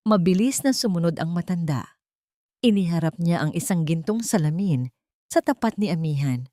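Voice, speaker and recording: A woman's voice: on the low side, animated, normal speed, dark tone, dry recording, studio quality. A woman reading a scripted story as an audiobook narrator, in a dramatic storytelling style.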